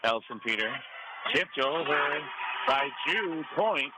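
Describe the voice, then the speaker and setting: A man's voice: fairly high pitch, animated, slow. A man doing live radio play-by-play of a volleyball match in clipped running calls from courtside in a gym. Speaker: radio play-by-play sports announcer. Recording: clear gym noise behind live radio call. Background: noisy gym ambience.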